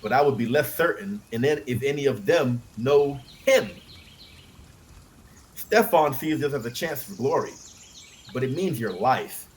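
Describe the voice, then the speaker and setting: A man's voice: mid-range voice, expressive, measured pace, a little hiss or hum, lightly acted. A man voicing a grave, anxious young nobleman in an audiobook, earnest and emphatic. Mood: urgent, wary. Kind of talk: audiobook character acting.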